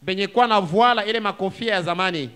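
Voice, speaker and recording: A man's voice: high voice, brisk, bright, forceful tone, clean tone. A man with a Congolese accent interpreting a sermon phrase by phrase, emphatic and fervent, matching the intensity of the preaching.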